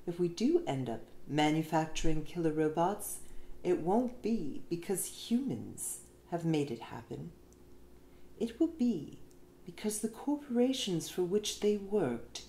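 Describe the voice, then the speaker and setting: A woman's voice: lowish voice, big pitch swings, measured pace, warm tone. A woman reading an essay aloud as scripted narration, in a roomy recording with faint noise behind her. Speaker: reader of an essay.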